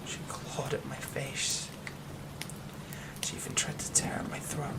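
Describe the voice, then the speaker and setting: A man's voice: heavy background noise, lightly acted. A man playing a haunted young werewolf. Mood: nervous, haunted. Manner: hushed, halting, often whispered. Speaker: ASMR roleplay performer. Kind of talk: ASMR horror roleplay.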